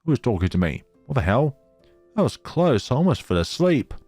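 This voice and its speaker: A man's voice: medium pitch, very animated, fairly quick, a little mellow, dry recording, faint music behind. A man hosting a gaming let's play, reading story text aloud dramatically and dropping in casual asides. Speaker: gaming channel host.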